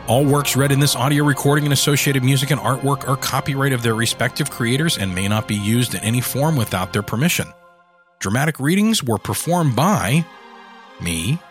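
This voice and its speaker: Male podcast host over music. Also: medium pitch. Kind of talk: scripted podcast outro, promotional announcements.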